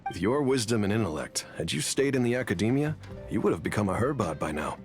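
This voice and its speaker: A smooth, coaxing professor. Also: male voice, fairly low pitch, very animated, quick pace, faint music behind. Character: persuasive male academic professor.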